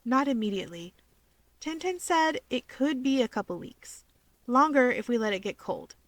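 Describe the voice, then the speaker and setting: A woman voicing a perky young female wizard in a story reading, playful, quick-witted and encouraging. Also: sits high, animated, no room sound. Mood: explaining. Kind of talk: fan fiction character reading.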